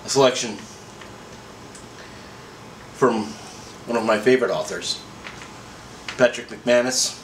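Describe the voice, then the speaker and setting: A middle-aged man in a folksy, unhurried manner, chatting casually and reading a story aloud. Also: mid-range voice. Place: small indoor room. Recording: roomy echo, faint background noise.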